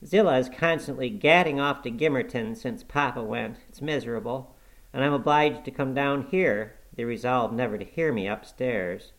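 A man's voice: mid-range voice, normal speed, pitched above the performer's own voice, close to an everyday voice, poor audio. A man voicing a peevish, sickly, self-pitying boy in an audiobook, with a whiny, fretful and complaining way of talking. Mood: whining, querulous. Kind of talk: audiobook character acting.

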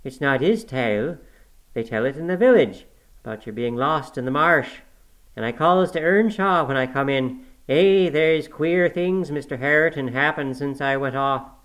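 A man voicing an excitable, kindly country housekeeper woman in an audiobook, with exclamatory rustic phrasing. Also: fairly high pitch, moderate pace, voice pushed up, lightly acted, poor audio. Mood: excited, gossipy. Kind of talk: audiobook character acting.

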